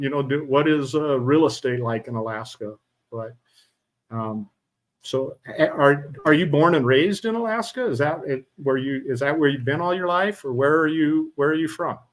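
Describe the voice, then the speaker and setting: Halting middle-aged male podcast host. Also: medium pitch, rough recording. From Utah. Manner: halting, many fillers and restarts. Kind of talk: casual interview chat.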